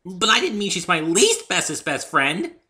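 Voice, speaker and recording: A man voicing a bubbly, excitable, scatterbrained young female pony in a dramatic story reading, with a gushing, teasing manner. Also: high-pitched, moderate pace, voice pushed up, heavily acted.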